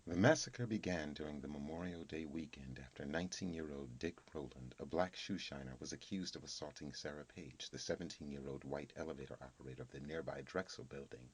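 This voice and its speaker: A man's voice: a bass voice, brisk, hardly any pauses, breathy edge. A man reading a scripted encyclopedia article as a narrator.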